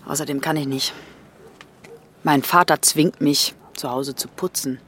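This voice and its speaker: A woman's voice: low voice, fairly even intonation, slow, fairly bright tone. An actress playing a distrustful, wounded young German woman in a radio drama, blunt and emotional. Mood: bitter, guarded.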